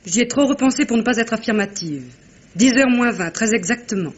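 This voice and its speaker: An actress playing an anguished, strong-willed young wife in a French radio drama, emotional and earnest, with a husky, breathy voice and muffled vintage sound. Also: female voice, a little high, expressive, brisk. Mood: earnest, tense. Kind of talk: 1950s-style French radio drama acting.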